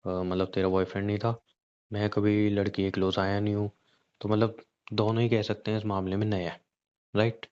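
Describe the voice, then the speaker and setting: A man's voice: on the low side, little pitch movement, fairly quick, darkish tone, clear, resonant tone, close to an everyday voice. A man playing a hot-tempered, suspicious young husband who badgers bluntly with repeated questions in a conversational audio drama. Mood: confused, badgering. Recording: dull, lo-fi call-recording sound.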